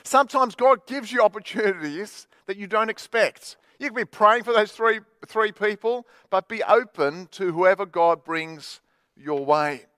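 A high-pitched man preaching as a Baptist pastor. He tells stories in a relaxed, chatty way with plenty of "uh"s, his voice very close and dry with no room sound. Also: measured pace, dry recording. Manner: chatty storytelling with many fillers. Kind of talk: sermon. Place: church livestream.

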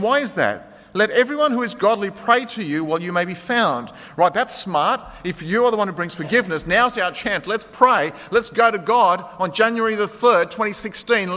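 A man's voice: high voice, bright, forceful tone. Male preacher with an echoing hall sound. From Greek heritage. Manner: impassioned preaching, rising emphatic calls to act now. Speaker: pastor. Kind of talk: sermon. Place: church sanctuary. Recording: long reverb of a large hall.

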